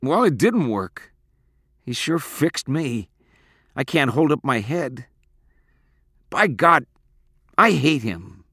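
A man's voice: medium pitch, big pitch swings, normal speed, pushed and bright, dry recording, studio quality, voice pushed up. A man voicing a bitter, stubborn teenage boy in an audiobook, petulant and aggrieved. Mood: bitter rage. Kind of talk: audiobook character acting.

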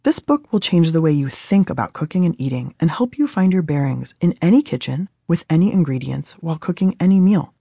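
A woman's voice: deep voice, lively intonation, fairly quick, creaky, few pauses, dark tone, husky, no echo. An American woman in her thirties from Southern California, reading her own book aloud as scripted audiobook narration in a studio. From Southern California, USA. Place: dry recording studio.

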